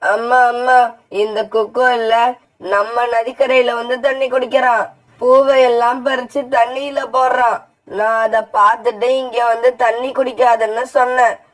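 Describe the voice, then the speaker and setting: A woman's voice: on the high side, level tone, normal speed, lightly acted, low-quality recording. A woman playing a bossy, spiteful little boy bird in a children's cartoon story, with a childish, petulant manner. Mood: whining, spiteful.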